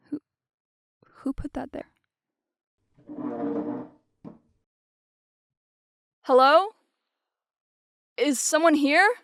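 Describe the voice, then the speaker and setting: A woman's voice: high voice, a bit bright, dry recording, studio quality. A woman playing a studious, curious, uneasy archive researcher narrating a log in an audio drama. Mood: alarmed.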